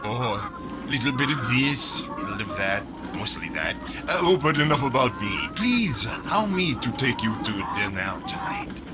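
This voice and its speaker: A man's voice: mid-range voice, sing-song, normal speed, over loud music, close to an everyday voice. A man playing a vain, evasive, ingratiating stranger in an old-time radio comedy, on a noisy recording. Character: smooth, vain, evasive handsome stranger who helps tourists.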